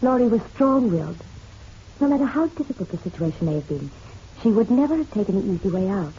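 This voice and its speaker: A woman's voice: medium pitch, sing-song, quick pace, dark tone, natural voice. Lady playing posh bitter mom in a noir radio play, poised, kinda muffled recording w faint noise. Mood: bitter, firm. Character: genteel, proud, bitter middle-aged divorced mother. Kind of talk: noir radio drama acting. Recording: dull, slightly noisy radio-drama recording.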